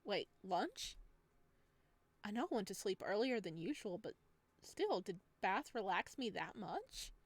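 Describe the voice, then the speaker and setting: A woman's voice: medium pitch, very animated, measured pace, no room sound. A woman voicing an enthusiastic, chatty traveler staying as a houseguest in a visual novel, earnest and bubbly. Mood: musing. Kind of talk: visual novel protagonist reading.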